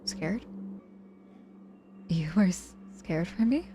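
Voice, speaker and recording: A woman acting a proud, guarded young witch hurt in hospital, halting and grudgingly tender, in an intimate ASMR audio roleplay. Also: on the low side, expressive, dark tone, soft background music. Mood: weary, softly incredulous.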